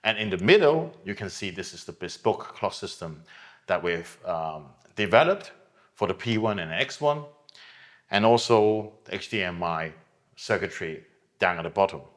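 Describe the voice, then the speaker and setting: A middle-aged man with a fairly low voice presents a product for his company. He speaks in a measured, explanatory way, as a representative walking viewers through a device. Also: lively intonation. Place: hi-fi showroom.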